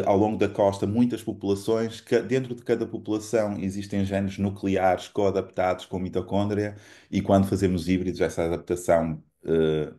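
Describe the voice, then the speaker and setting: A middle-aged man from Portugal with a European Portuguese accent, giving an academic seminar lecture in a measured, explanatory way with frequent fillers and restarts. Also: low-pitched, dark tone. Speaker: guest lecturer.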